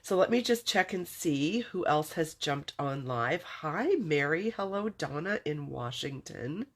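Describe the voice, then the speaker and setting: A woman from Southern California hosting a craft livestream, chatting warmly with viewers and greeting them by name, her nose stuffy from a cold. She talks in a friendly, easygoing way and laughs often between remarks. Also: sits low, expressive, a little slow.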